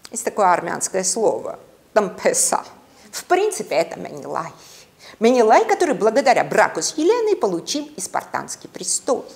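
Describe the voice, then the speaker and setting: Female lecturer, middle-aged. Theatrical storyteller, highly animated intonation, gravelly creak, deliberate pauses. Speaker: university lecturer. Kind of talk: dramatic lecture on literature and mythology. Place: classroom, indoors.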